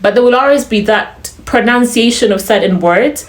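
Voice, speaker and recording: A woman's voice: fairly high pitch, normal speed. Chatty Ugandan woman in an echoey room. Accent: Ugandan, slightly polished. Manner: chatty, laughs often, animated asides. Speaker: vlogger. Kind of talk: casual chat to camera.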